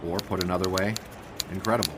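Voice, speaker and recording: An actor playing a wry, earnest 1920s sportswriter in an audio drama, polite and reflective. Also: male voice, deep voice, fairly even intonation, fast talker, noisy background, natural voice. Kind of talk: period audio drama dialogue and first-person narration.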